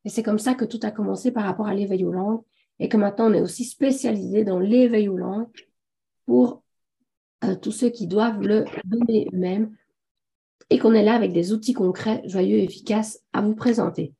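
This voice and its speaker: Hoarse woman hosting a webinar, mellow. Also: medium pitch, fairly even intonation, moderate pace. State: hoarse from a sore throat, coughing. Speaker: webinar host. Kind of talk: live webinar presentation. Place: online video conference.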